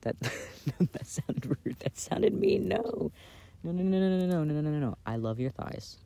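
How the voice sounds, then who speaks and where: A man doing a casual, intimate ASMR boyfriend roleplay in a soft-spoken, playful way, recorded outdoors. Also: fairly high pitch, lively intonation, unhurried, creaky. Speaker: audio roleplay performer playing a boyfriend. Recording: outdoor recording with background noise. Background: outdoor ambience and noise.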